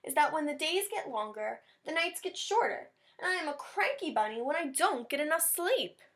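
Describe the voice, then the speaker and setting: Woman playing a grumpy, higher-pitched storybook rabbit. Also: sing-song, normal speed, a bit bright. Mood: cranky, complaining. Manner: cranky, complaining, dramatic. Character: grumpy, dramatic male rabbit from a picture book.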